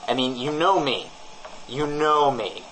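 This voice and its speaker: A man's voice: mid-range voice, unhurried, fairly bright tone, a little hiss or hum, low-quality recording. A man co-hosting a comedy show with rambling, mock-earnest improvised banter.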